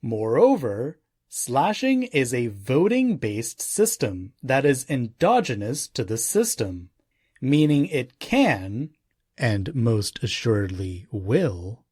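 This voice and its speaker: Slow, husky male audiobook narrator. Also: mid-range voice, sing-song, a little mellow, no room sound, studio quality. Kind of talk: scripted audiobook narration of non-fiction.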